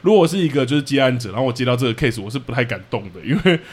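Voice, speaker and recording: A man's voice: mid-range voice, animated, rapid-fire. A man with a Taiwanese Mandarin accent hosting a podcast, putting questions to guests in a casual conversational way. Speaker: podcast host interviewing guests.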